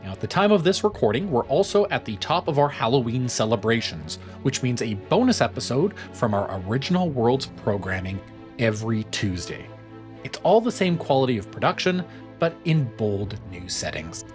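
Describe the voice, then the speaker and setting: Lively, expressive male podcast host. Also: mid-range voice, moderate pace, soft background music. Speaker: host reading a show outro. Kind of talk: scripted announcement to listeners.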